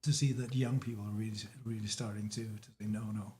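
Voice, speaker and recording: A man from Denmark, not a native speaker, chatting as a guest and pausing often to search for words. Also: on the low side, steady tone, dark tone, a little hiss or hum. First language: Danish. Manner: halting, frequent restarts, searching for words. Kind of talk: casual chat.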